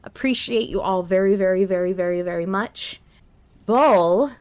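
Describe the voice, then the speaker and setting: Woman podcast host, excitable and emphatic. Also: medium pitch, moderate pace, clean tone, no room sound. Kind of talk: casual fan discussion.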